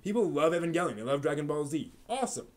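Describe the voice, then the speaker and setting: A man's voice: on the high side, big pitch swings, fast talker. Rambling man hosting a live stream. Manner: rambling, frequent fillers and restarts. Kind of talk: casual live-stream chat answering viewer questions.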